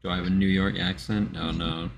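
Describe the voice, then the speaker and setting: A man's voice: low voice, level tone, fairly quick, creaky, slight background noise. An easygoing man from Wisconsin answering casually during a livestreamed card game.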